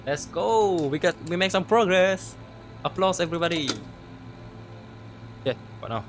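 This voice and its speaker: A man's voice: sits high, expressive, a little slow, a little hiss or hum. A man doing casual let's play commentary, stumbling as he reads text aloud and swearing freely. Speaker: gaming video host.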